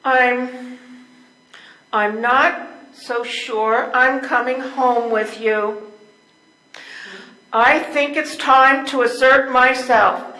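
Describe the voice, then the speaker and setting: An older woman playing a lonely, neglected wife in an amateur stage reading, plaintive and deliberate. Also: high voice, fairly even intonation, pushed and bright, exaggerated voice. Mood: aggrieved, assertive. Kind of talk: amateur readers theater play. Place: indoor live stage reading. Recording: echoey room.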